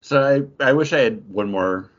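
A man's voice: medium pitch, moderate pace, lo-fi sound. A man hosting a podcast in a casual, conversational style. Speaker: podcast host. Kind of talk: casual chat.

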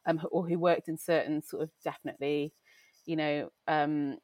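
A woman with a light Welsh accent talks through her career in a relaxed, conversational way, often pausing on fillers and restarting sentences. Her voice sits a little low, and the recording is close and dry with no room sound. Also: dry recording. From Wales. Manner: chatty, reflective, frequent fillers and self-corrections. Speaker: beauty journalist, guest. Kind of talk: casual conversational interview.

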